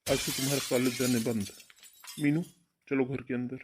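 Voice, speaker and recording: A man's voice: medium pitch, level tone, moderate pace, slight background noise, lightly acted. A man playing a stern, domineering older brother in a cartoon family melodrama, scolding and giving orders. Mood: angry, commanding. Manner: scolding, commanding.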